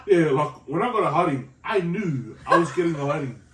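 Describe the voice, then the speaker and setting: Joking young man, lively voice. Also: fairly high pitch, a little fast, noisy background. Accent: New Zealand. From New Zealand. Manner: joking, playful ribbing. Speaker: co-host of couple food channel. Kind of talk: casual banter between partners. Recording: echoey room, mic at a distance.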